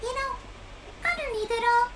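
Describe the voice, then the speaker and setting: A woman voicing an excitable, theatrical young girl character in a video game. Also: extremely high pitch, normal speed, noisy background. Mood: chirpy, curious. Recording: game music behind the voice.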